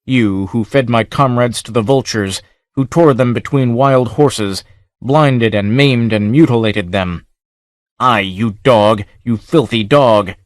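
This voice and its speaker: A wild, vengeful warrior snarls with slow, raging hatred, as a male narrator puts him on. His delivery is loud and drawn out. The sound is dry and close. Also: mid-range voice, dry recording, close to an everyday voice. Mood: savage fury. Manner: snarling, furious, barbarous accent.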